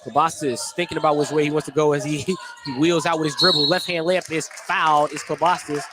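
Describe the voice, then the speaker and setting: Man calling basketball over loud gym noise. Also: fairly high pitch, steady tone, fairly quick. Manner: clipped running descriptions of play. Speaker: play-by-play announcer. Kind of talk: live basketball radio commentary. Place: indoor school basketball gym. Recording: noisy gym behind the voice. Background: loud gym noise, bouncing basketballs.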